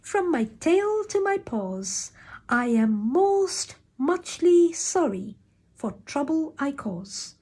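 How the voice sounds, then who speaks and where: A woman's voice: high-pitched, slow, exaggerated voice. A woman voicing a mischievous, playful male puddle creature with a tail and paws, speaking in bouncy rhymes. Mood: apologetic. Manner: bouncy, rhyming, excitable.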